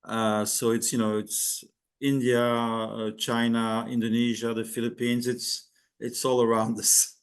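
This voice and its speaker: A man's voice: mid-range voice, little pitch movement, measured pace, smooth. An older man from Belgium, a guest speaker giving a reflective talk over a video call, hesitant with many fillers and restarts. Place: home in Thailand, video call.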